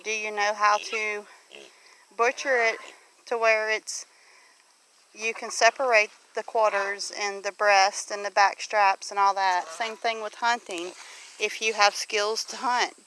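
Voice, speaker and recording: A middle-aged woman with a Southern US accent talks casually in a folksy, questioning way, like a homesteader vlogging. Her voice is bright and forward at an average pitch. She is recorded outdoors with light background noise. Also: low-quality recording. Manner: folksy, asks the listener rhetorical questions. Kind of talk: casual chat. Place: outdoors on a homestead near farm animals.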